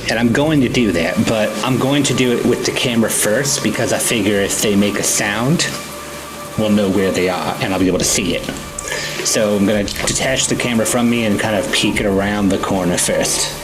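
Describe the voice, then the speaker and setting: A man's voice: fairly low pitch, brisk, rough recording. Male tabletop player, casual, music behind. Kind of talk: casual improvised game chat.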